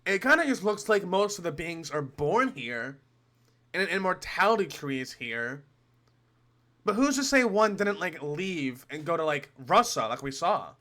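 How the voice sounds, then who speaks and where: Bright, higher-voiced young man reacting casually. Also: sing-song, normal speed. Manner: joking, sweary, musing aloud. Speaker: reaction video streamer.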